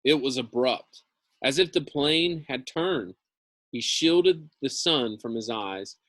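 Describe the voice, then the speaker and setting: A man gives an expressive read-aloud of a story at an unhurried pace. He leans into phrases with dramatic emphasis and drops into informal commentary. The sound is dry, with very little room. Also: medium pitch, no echo. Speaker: teacher reading a novel aloud.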